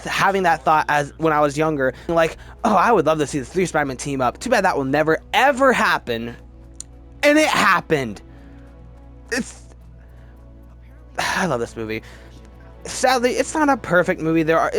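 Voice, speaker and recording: A man with a slightly high voice talks casually as a video host over a music bed. He gushes like a fan, offers plain opinions and repeats words to press his point. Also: big pitch swings, moderate pace, fairly bright tone. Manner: gushing fan enthusiasm, repeats words for emphasis. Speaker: video host ranking films.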